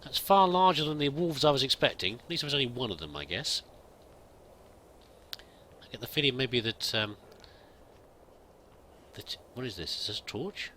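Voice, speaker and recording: Bright-voiced man musing through a game. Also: medium pitch, animated, moderate pace, pauses often, a little hiss or hum. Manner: muses aloud, hesitant, many restarts. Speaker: let's play gamer roleplaying a pilgrim. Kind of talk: in-character gameplay commentary.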